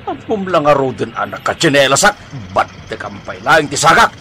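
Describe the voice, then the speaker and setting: A man's voice: medium pitch, sing-song, a little fast, pushed and bright, a little hiss or hum. A man with an Ilocano accent playing a hot-tempered, quarrelsome bully in a radio drama, scolding and exclaiming in bluster. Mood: indignant, scolding.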